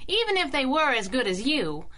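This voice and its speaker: An actress playing a perky, quick-witted young crime-fighting sidekick in a radio drama, animated and wisecracking. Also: female voice, high-pitched. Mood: curious, wry. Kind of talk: 1930s-set radio drama acting.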